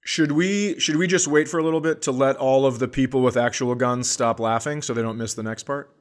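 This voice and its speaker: Man, American, medium-pitched voice, casual comedy podcast chat, deadpan, mock-earnest. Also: brisk. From Minnesota, United States.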